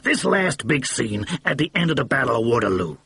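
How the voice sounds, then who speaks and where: A man reading aloud, voicing a short, fat, excitable film producer with a cigar. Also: medium pitch, brisk, vocal fry, husky, dry recording, full character voice. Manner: blustering, excitable, slangy.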